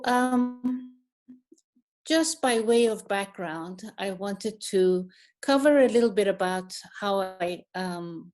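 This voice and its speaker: Middle-aged woman from Iran, slow unhurried delivery, online talk over a video call, thoughtful, many fillers and restarts. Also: medium pitch, a little mellow. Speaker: guest speaker, neuroscientist.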